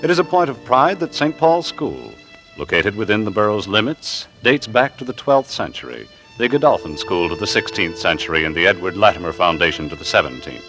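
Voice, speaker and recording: A man reading scripted narration for a 1940s radio drama in a measured, formal manner. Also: lowish voice, sing-song. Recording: vintage radio broadcast with music behind. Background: music.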